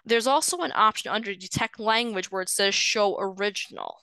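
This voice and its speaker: A woman genealogist walks listeners through an online lecture in a friendly, explanatory way, often pausing on an um or uh. Her voice is bright and clean. The sound is dry and close to the mic. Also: medium pitch, dry recording, rough recording. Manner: explanatory, friendly, with frequent um and uh fillers. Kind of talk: screen-share webinar lecture. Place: indoors, voice over shared slides.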